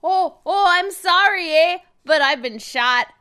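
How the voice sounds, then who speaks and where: Woman putting on a bright, over-apologetic Canadian guy. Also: extremely high pitch, animated, slow, full character voice. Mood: apologetic, fading. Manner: rambling, apologetic, ends phrases with "eh". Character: meek, over-apologetic Canadian man who knits, hockey-loving.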